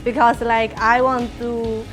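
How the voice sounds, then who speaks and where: A woman's voice: on the high side, little pitch movement, slow pace, faint music behind. Young woman student. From China. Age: young adult. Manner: searching for words, fillers like "like". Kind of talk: casual interview.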